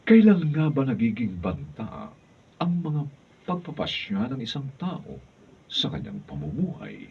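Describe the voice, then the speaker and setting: A man's voice: mid-range voice, huge pitch swings, a little slow, gravelly. A man with a Filipino accent giving dramatic scripted narration for a radio drama. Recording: distant, dull, faintly noisy studio recording.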